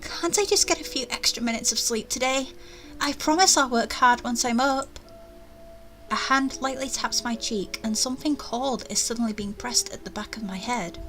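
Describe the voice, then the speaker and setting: A woman reading a visual novel aloud as its player, with expressive narration and casual asides. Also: on the high side, normal speed, faint music behind.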